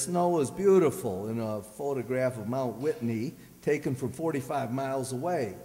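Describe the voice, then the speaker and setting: A man acts out a blustery, grumbling husband in a radio comedy, his voice on the high side. He wisecracks in folksy slang, always a little exasperated. The recording has the long echo of a hall. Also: dark tone. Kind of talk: old-time radio comedy acting. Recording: echoey hall stage recording.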